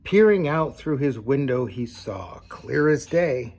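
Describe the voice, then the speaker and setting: An older man reads a children's story aloud in a gravelly, husky voice. He takes his time like a grandfather at bedtime, shaping the rhymes with expressive, deliberate phrasing and slipping in casual asides. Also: mid-range voice.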